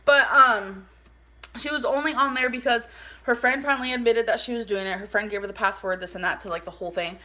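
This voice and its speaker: A woman chatting casually through a personal story for a vlog, animated and informal, saying "like" a lot and acting out bits of dialogue. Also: on the high side, a little fast. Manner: animated, informal, acts out dialogue, heavy use of "like". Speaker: vlogger telling a personal story.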